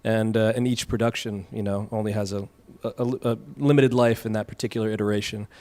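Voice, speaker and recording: Hesitant man with a lowish voice. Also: steady tone, a little fast, dark tone. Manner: hesitant, thoughtful. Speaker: stage actor. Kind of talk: live panel talk.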